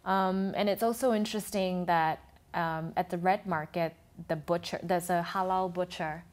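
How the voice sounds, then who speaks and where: Woman, lowish voice, talk-show guest, explanatory and halting. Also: fairly even intonation. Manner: thoughtful, explanatory, speaks in halting restarts. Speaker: food and travel blogger, guest. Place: TV studio.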